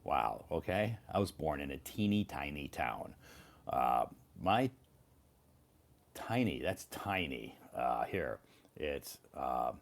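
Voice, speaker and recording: A middle-aged man from Iowa, live-streaming a casual chat with English learners, speaking slowly and repeating words clearly. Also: extremely low pitch, sing-song. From Iowa, United States. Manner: slow, repetitive, clearly enunciated for learners.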